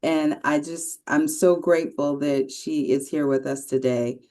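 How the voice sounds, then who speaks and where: A woman's voice: low-pitched, fairly even intonation, measured pace, mellow. A woman moderating an online panel, thanking and praising each speaker in an encouraging way. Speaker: webinar moderator.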